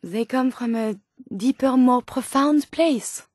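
A woman's voice: sits high, slow, darkish tone, no echo, clean studio sound. A woman playing an earnest, polite female robot scientist in a video game, with a French accent and formal, precise wording. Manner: formal, precise, carefully worded. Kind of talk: video game character dialogue.

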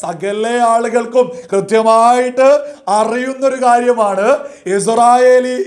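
A man presenting news commentary to viewers in an emphatic, repetitive way, stretching out key words. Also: extremely high pitch.

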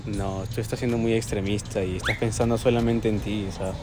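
A young man with a Peruvian accent arguing in colloquial speech, pleading and insistent in a halting way. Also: lowish voice, flat delivery, loud music behind the voice. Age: young adult. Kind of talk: emotional phone argument, colloquial. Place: outdoors on a city street by a park.

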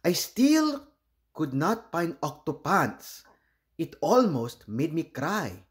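A man voicing an earnest, hapless octopus from a storybook in expressive rhyme. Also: fairly high pitch, takes it slowly, full character voice. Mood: forlorn. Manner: expressive, simple rhyming delivery.

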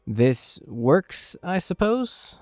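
A man's voice: on the high side, big pitch swings, drawn-out words, no room sound, clean studio sound, soft background music, exaggerated voice. A man playing a wary, polite part-elf messenger in a fantasy audio drama, speaking in a matter-of-fact way.

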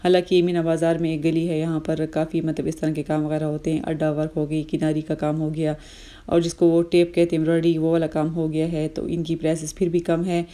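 A woman's voice: low-pitched, monotone, fast, dark tone. A woman in her thirties, a vlogger narrating a casual shopping trip through bazaar shops, chatty and explanatory, with many fillers. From Pakistan.

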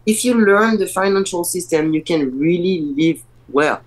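A woman with a French accent talks on a video call with a stuffy, blocked-nose voice. She is animated and blunt, laughing easily. Her voice sits a little low for a woman. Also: a little fast. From France. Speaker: guest, pro bodybuilder. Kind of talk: casual interview over video call.

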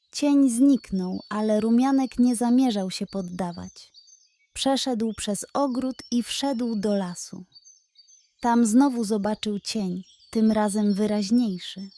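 A woman narrating a children's bedtime audio story in a calm, measured, gentle way. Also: mid-range voice.

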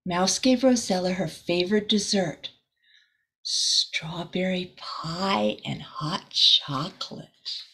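Slow-paced woman reading a storybook aloud. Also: fairly low pitch, breathy edge. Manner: expressive, deliberate. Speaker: volunteer teacher reading to children. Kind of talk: storybook read-aloud with asides. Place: small room indoors.

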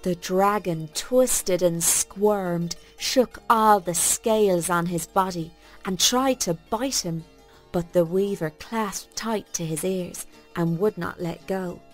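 A woman narrating a bedtime story for children in a folksy, expressive storytelling manner. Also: fairly low pitch, slow pace, faint music behind, rough recording.

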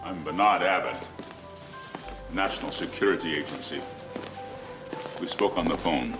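A man's voice: low voice, normal speed, lightly acted. An actor playing a stern, commanding male intelligence agent in a film drama. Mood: stern, controlled. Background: music.

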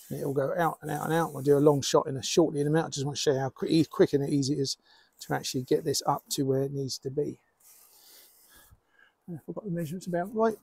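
Man, middle-aged, slightly high voice, dry sound, measured hobbyist explainer, pauses between short phrases. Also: fairly even intonation, vocal fry, darkish tone, slightly rough, no room sound. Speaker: amateur radio hobbyist presenter. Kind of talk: explanatory product demonstration.

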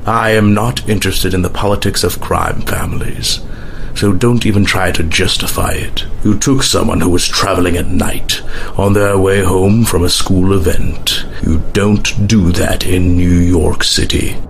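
A man playing a grim masked vigilante in a motion comic, calm, menacing and tersely deadpan. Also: low-pitched, unhurried, creaky, a little hiss or hum. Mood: cold, menacing. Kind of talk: motion comic dialogue acting. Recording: loud music under the voice.

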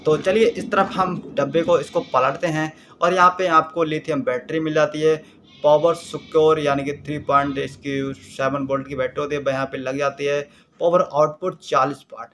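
A man's voice: a little high, a bit bright, slight background noise. A man, explaining a product step by step in a casual, repetitive way. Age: 20s. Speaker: YouTube product reviewer. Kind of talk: casual unboxing demonstration. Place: small plain-walled room indoors.

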